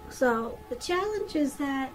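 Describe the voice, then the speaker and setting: A woman's voice: high-pitched, lively intonation, slow, soft background music, low-quality recording. A woman giving a conversational tarot reading straight to the listener, repeating phrases for emphasis. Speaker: tarot reader.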